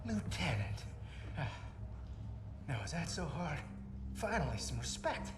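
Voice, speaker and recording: An actor playing a cocky, foul-mouthed pilot held captive in a war game, sarcastic and defiant. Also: male voice, on the high side, sing-song, unhurried, background noise. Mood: mocking. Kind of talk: cinematic war game acting. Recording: roomy, distant, under loud music.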